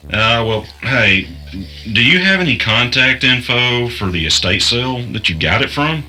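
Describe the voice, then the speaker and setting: An actor playing a weary, low-key podcaster who talks in a hesitant, laconic way in a naturalistic audio drama. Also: male voice, lively intonation, normal speed, pushed and bright, faint music behind, poor audio. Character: weary, low-key studio podcaster investigating an arcade cabinet.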